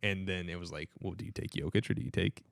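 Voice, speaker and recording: A young American man with a fairly low voice chats casually about sports on a podcast. He speaks in a questioning way that invites debate, and the sound is dry, free of room echo. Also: fast talker, vocal fry. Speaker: podcast co-host.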